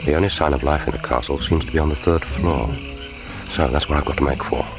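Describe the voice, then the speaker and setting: Very deep, gravelly secret agent. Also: male voice, fast. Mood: wry, tense. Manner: deadpan, wry, inner monologue. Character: cool, wry male British secret agent. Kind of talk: radio play narration. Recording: film score music behind voice.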